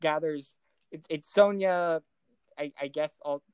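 A woman co-hosting a podcast, recapping movies in a casual, rambling, excitable way and often interrupting herself. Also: low voice, unhurried, smooth, no room sound, highs cut off. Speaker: podcast co-host.

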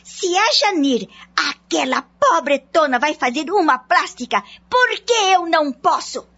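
An actress playing a vain, boastful, insistent wife with an affected manner in a radio soap opera. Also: female voice, high-pitched, big pitch swings, a little slow, sharp tone, exaggerated voice. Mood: indignant, insistent. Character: vain, boastful middle-class wife, insistent and status-conscious. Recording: dull lo-fi audio, music underneath.

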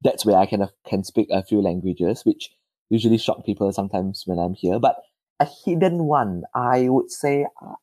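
A man's voice: on the low side, normal speed, mellow, no room sound. A young man in his twenties from Malaysia, answering interview questions in a hesitant, modest way and often restarting his words. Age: 20s. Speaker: guest.

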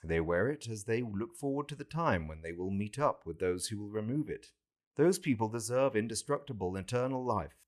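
Guy playing a calm wise saviour in a holy audiobook, lowish voice, big expressive swings, solemn and deliberate. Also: close to an everyday voice. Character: calm, authoritative male divine saviour teaching a follower.

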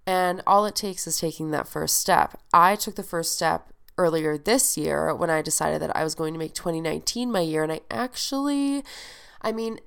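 A woman's voice: fairly low pitch, creaky, clean tone. A young woman hosting a podcast in casual, chatty talk, sounding nasal and stuffed up from a head cold and swearing freely. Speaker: podcast host.

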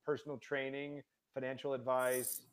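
A man appears as a guest on a casual podcast and talks at an ordinary, middle pitch for a man. He rambles in a relaxed way, with plenty of ums and likes as he works through his points. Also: normal speed. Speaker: guest, financial advisor for dentists. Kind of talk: casual interview.

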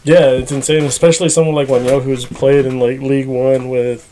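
A young man, a goalkeeper guest on a podcast, telling stories in a rapid, rambling way full of "like" and "you know". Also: medium pitch, flat delivery, mellow, slight background noise, rough recording. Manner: rapid, rambling, filler-heavy storytelling. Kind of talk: casual chat.

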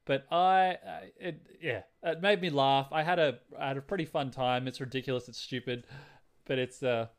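A man with a medium-pitched voice chats casually as a podcast co-host. He talks about films in a loose, rambling way, working out his thoughts as he speaks. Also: steady tone, moderate pace. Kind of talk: casual film discussion.

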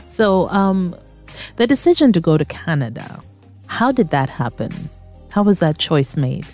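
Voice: mid-range voice, a little slow, faint music behind. An interview host asking questions in an easygoing way, often encouraging the guest with short responses. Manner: lively with frequent backchannels and laughter. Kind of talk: casual interview conversation.

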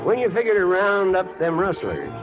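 An actor playing a sly, needling American rancher in a 1940s radio western, drawling with false politeness. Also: male voice, sits high, wildly swooping pitch, normal speed, muffled recording, music in the background.

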